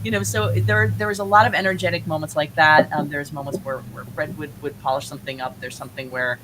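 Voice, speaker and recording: A woman speaking as a guest playwright in an animated interview, effusive and often restarting her thoughts midway. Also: deep voice, quick pace, background noise.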